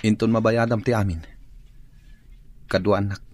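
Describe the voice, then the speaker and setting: An actor with an Ilocano Filipino accent playing a gruff, inquisitive employer laid up in hospital, in a radio drama. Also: male voice, on the low side, little pitch movement, normal speed, darkish tone, close to an everyday voice. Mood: disgruntled.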